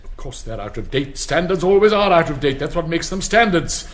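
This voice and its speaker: An actor as a pompous old English headmaster, swooping delivery. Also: male voice, a little high, animated, brisk, lightly acted. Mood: indignant. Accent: English upper-class. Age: older. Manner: pompous, declamatory, exasperated. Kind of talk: theatrical radio drama acting.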